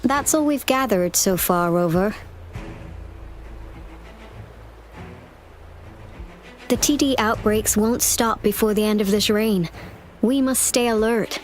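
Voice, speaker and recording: A woman's voice: medium pitch, normal speed, slight background noise, close to an everyday voice. Grave, firm woman playing a battle-weary officer. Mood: serious, alert. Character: steady, battle-weary female military officer. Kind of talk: video game story dialogue.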